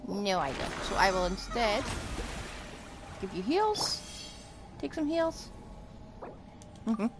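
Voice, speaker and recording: Female streamer, animated casual commentary, muses to herself, game music clearly behind. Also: mid-range voice, slow pace. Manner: talks to self, asks own questions. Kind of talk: casual live gameplay commentary.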